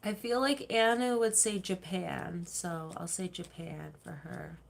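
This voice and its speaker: Woman livestream host, slow unhurried chat, laughs easily, reads out viewer comments. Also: lowish voice, fairly even intonation, gravelly. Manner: chatty, laughs easily, rambling with fillers.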